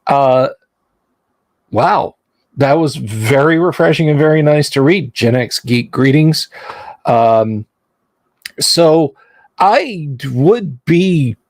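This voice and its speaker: Slow, rambling man hosting a livestream. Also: fairly high pitch, no echo. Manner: rambling, self-deprecating, restarts often. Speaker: livestream host. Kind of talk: casual livestream chat.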